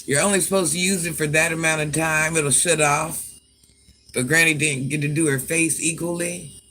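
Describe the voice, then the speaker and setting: Deep-voiced granny streamer, sassy, bright forceful delivery. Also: female voice, fairly even intonation, normal speed, a little hiss or hum. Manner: sassy, talks back to commenters by name. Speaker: livestream host. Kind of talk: casual livestream chat.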